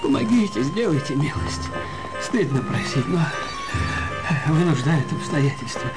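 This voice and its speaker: A man's voice: fairly high pitch, very animated, a little slow, loud music behind the voice, rough recording. A male actor playing hungry, shabby men, impatient and pleading, in a theatrical radio drama. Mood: pleading, wheedling.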